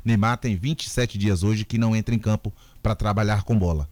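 A man's voice: fairly low pitch, steady tone, fairly quick, a little mellow, no echo. A man on a radio sports panel who sends jokey greetings to family, then gives a reasoned opinion. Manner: chatty, joking, then reasoned.

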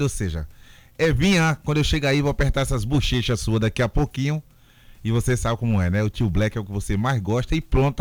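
A man's voice: mid-range voice, no room sound. A man on a radio sports panel who sends jokey greetings to family, then gives a reasoned opinion. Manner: chatty, joking, then reasoned.

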